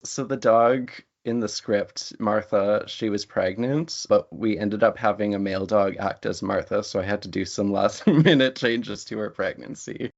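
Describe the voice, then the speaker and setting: A man's voice: lowish voice, moderate pace, vocal fry, hardly any pauses. A man speaking as a writer guest in a casual, reflective and chatty panel conversation. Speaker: interview guest, writer and playwright. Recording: dull lo-fi audio.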